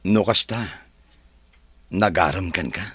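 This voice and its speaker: A man's voice: sits low, slow pace, a bit bright, husky, no room sound, heavily acted. A man with an Ilocano accent playing an earnest, devoted suitor in a radio drama. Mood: tender, resigned.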